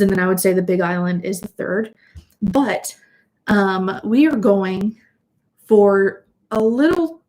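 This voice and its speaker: A woman's voice: mid-range voice, darkish tone, rough recording. Chatty sniffly young woman livestreaming. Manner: chatty, rambling, with fillers and restarts. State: sniffly from allergies. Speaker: vlogger hosting a livestream. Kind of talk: casual livestream chat. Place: at home indoors.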